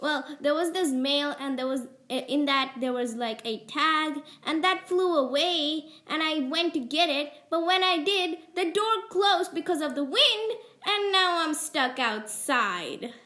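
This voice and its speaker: A woman playing a mischievous young girl in an animated moral story, with music behind her. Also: sits high, unhurried, few pauses, full character voice. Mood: pleading. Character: mischievous young girl who loves pranks, later panicked.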